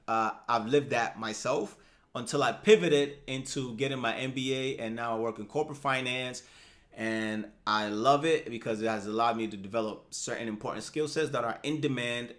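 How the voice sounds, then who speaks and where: A man in his thirties hosting a personal finance channel, talking straight to the viewer in a casual, upbeat way and checking in with "right?" and "okay?". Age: 30s. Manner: animated, rises in pitch and loudness for emphasis. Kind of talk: casual explainer talking to camera.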